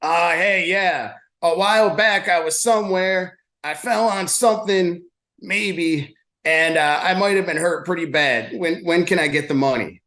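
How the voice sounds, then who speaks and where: A man's voice: high-pitched, unhurried, a bit bright. A man playing a vague, clueless injured caller who hopes for easy money, speaking hesitantly and unsurely. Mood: vague, hopeful. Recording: echoey room sound.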